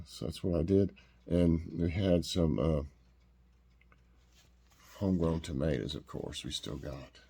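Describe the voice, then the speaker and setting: A man's voice: a bass voice, gravelly, warm tone, breathy edge. A man with a stuffed-up, congested voice, chatting casually and rambling with lots of fillers as he hosts a taste test. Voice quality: stuffed-up nasal voice. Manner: rambling, homey, full of fillers and restarts. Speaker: vlog host.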